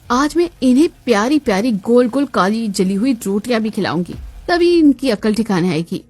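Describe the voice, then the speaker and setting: High-pitched sarcastic cartoon daughter-in-law played by a woman. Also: very animated, moderate pace, darkish tone, no room sound. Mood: scheming. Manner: mock-sweet, dramatic.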